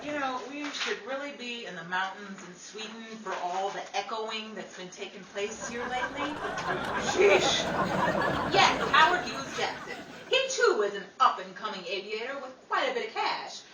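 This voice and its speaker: A woman's voice: a little high, animated, normal speed, over a noisy crowd, natural voice. A woman playing a scatterbrained, defiant young Hollywood hopeful in 1930s radio comedy, breezy and wisecracking. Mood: defiant, boastful. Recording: echoey room, distant mic, noisy audience.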